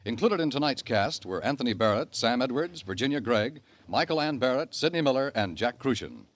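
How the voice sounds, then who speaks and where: A man's voice: mid-range voice, big pitch swings, a little fast, a little hiss or hum. A man working as a radio announcer, reading cast and production credits in a 1950s broadcast style.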